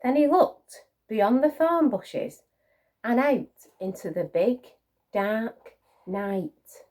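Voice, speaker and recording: Animated, slow-paced woman reading to children. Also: fairly high pitch. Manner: encouraging, questioning, child-directed. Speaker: children's storyteller. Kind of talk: animated picture-book reading with asides to children.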